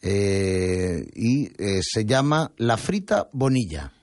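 A man's voice: lowish voice, sing-song, slow pace, darkish tone, no echo, clean studio sound. A man hosting a radio show, chatting and reading news with many fillers and restarts. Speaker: radio host. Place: radio studio.

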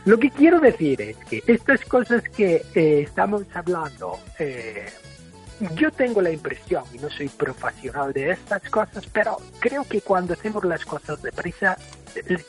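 A man's voice: high voice, sing-song, moderate pace, faint music behind. An Irish man whose first language is English, speaking hesitantly with many fillers, polite but critical. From Ireland.

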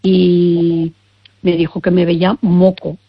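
A woman's voice: lowish voice, flat delivery, takes it slowly, breathy edge, lo-fi sound. An older woman with a persistent cough, hesitantly explaining her symptoms and often searching for words. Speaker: caller seeking health advice. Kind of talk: casual consultation.

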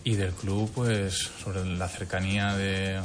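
Low, even-toned young Spanish man. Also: takes it slowly, soft background music. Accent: Spanish, from Spain. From Valencia, Spain. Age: young adult. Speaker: basketball player. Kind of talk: informal press conference answers.

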